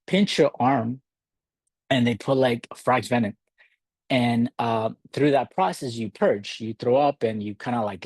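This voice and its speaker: A man from Ecuador leads a live online workshop from home, speaking over a video call in an easygoing, halting manner with plenty of ums and uhs. His voice sits at an ordinary middle pitch for a man. The sound is dry and close, with no room echo. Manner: relaxed, halting, many fillers. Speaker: workshop host. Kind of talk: live virtual workshop demo. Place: home in a city. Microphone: computer mic on a video call.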